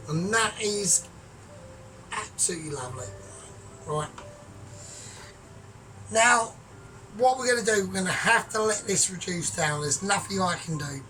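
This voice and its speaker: A man's voice: sits high, lively intonation, a little slow, long pauses. Rambling, sweary man cooking far from mic. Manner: softly spoken, often trailing off quiet. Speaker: home cook vlogger. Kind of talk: casual cooking vlog. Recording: distant mic with music behind.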